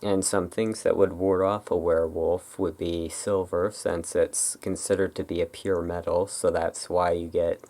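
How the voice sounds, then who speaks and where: ASMR host: deep, crackly register, unhurried and musing, improvised rambling. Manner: relaxed, rambling, halting and musing. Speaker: ASMR video creator. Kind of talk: ASMR, unscripted rambling about folklore.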